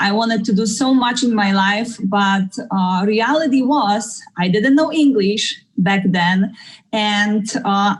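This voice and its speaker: A woman from Poland, Polish native, speaking English with a Polish accent, giving a halting business presentation over a video call. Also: mid-range voice. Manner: halting, restarts sentences. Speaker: guest presenter.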